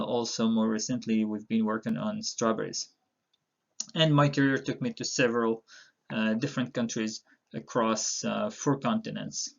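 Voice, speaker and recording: Middle-aged male professor from Palestine, relaxed lecture delivery with lots of fillers, some vocal fry, slightly muffled audio. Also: medium pitch. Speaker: university instructor. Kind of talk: recorded online course introduction.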